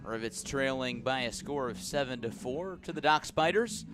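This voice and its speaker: A man announces a baseball game for radio in a mid-range voice. He alternates measured narration with punchy calls of each pitch and play. Music is clearly audible behind him. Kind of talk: live radio sports commentary.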